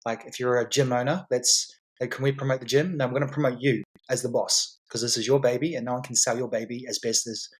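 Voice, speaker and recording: A man's voice: medium pitch, fairly even intonation, fast, hardly any pauses, slightly rough. A man as a guest on a podcast, explaining his business in a confident, salesy way with frequent repeats and restarts. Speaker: guest entrepreneur.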